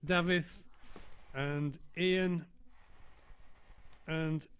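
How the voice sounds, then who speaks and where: A man casually hosting a video call session in a halting, informal way. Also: on the high side, fairly even intonation, fairly bright tone, clean tone. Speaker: session host.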